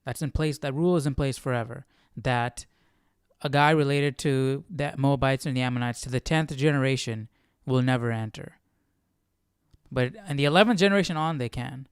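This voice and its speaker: Casual male study host, lower-pitched and clear, reasoning out loud with frequent questions. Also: normal speed, no echo, studio quality. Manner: questioning, repeats and rephrases as he reasons. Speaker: livestream Bible study host. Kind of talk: casual thinking-aloud study commentary.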